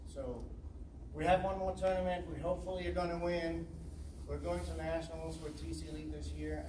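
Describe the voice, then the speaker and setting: An accented man coaches volleyball and speaks in an earnest, emotional way, stumbling with fillers as he goes. His voice sits a bit high and sounds distant from the mic. An echoey room and steady background noise surround him. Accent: non-native English. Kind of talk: speech at a signing ceremony.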